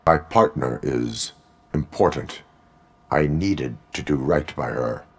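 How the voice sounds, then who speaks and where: Very deep, deadpan cyborg cop. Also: male voice, slow, exaggerated voice. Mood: stoic. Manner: deadpan, terse. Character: stoic male cyborg police officer, curt and solemn. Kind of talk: video game acting.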